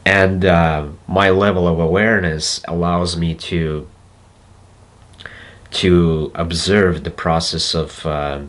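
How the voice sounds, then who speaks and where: A man with a Russian accent, a native Russian speaker, talking slowly and reflectively to camera and pausing to search for words. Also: low voice, a bit bright. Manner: slow, deliberate, searching for words with pauses. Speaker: self-development video host. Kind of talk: slow reflective monologue to camera.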